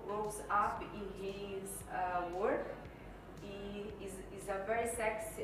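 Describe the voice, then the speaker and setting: A woman interpreting phrase by phrase in halting non-native English. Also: mid-range voice, distant mic. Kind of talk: consecutive interpreting. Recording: very echoey, off-mic, noisy room.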